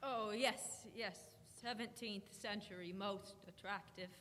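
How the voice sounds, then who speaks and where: A woman's voice: medium pitch, unhurried, a bit bright, lightly acted. A woman playing a prim, skeptical antiquarian doctor, fussy and proper, in old-fashioned radio drama style. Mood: doubtful. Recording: echoey hall with faint noise.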